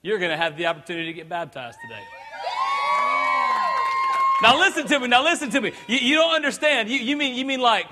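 A man, preaching an excitable, joking sermon and often stammering and repeating words. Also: high-pitched, big-room sound, heavy background noise. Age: 30s. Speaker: pastor. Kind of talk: energetic sermon. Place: large church hall.

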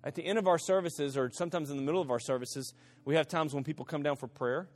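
A middle-aged man preaching a sermon, telling stories in an animated way, rising to emphatic peaks and dropping to hushed asides. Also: on the high side, quick pace. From Central America. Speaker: pastor.

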